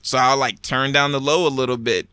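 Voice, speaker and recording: A young man talks in a bright voice, recorded close and dry with no room sound. He explains things in a loose, rambling way, full of "like" and restarts. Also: medium pitch, steady tone, brisk, no room sound. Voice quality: raspy when rapping. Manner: rambling, filler-heavy explaining. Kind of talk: casual chat.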